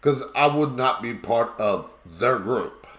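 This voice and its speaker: Raspy male talk host, emphatic and rambling, slow pace, roomy echo. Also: medium pitch, expressive, a bit bright. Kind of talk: live call-in talk show commentary.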